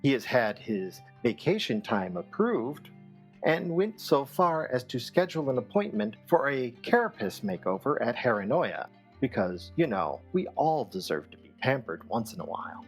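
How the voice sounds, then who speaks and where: A man reading a scripted comic radio show as its host, in a measured, deadpan manner over background music. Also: medium pitch, husky.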